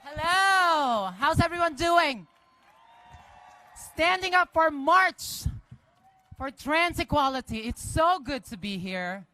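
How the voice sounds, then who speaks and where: A woman from the Philippines with a Filipino accent, giving a lively, personal speech at an outdoor march. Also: high-pitched, slow. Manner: enthusiastic, personal. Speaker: guest speaker at a march. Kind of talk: rally speech. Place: outdoor rally.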